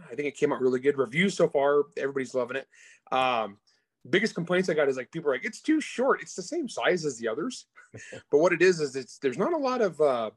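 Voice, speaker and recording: Male author as interview guest, mid-pitched, informal and enthusiastic, very little room sound. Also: dry recording. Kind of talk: casual interview chat about their own books.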